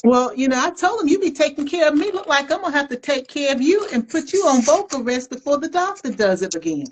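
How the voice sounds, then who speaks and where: A woman's voice: sits high, very animated, quick pace, a little hiss or hum. Teasing woman co-host on a video call. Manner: playful teasing with stammered restarts. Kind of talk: casual livestream chat.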